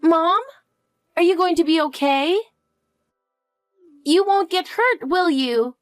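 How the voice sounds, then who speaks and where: Woman doing a clingy, high-voiced little girl. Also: takes it slowly, no room sound, clean studio sound, faint music behind. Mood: scared. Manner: pleading, eager questions. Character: young girl, anxious and clingy.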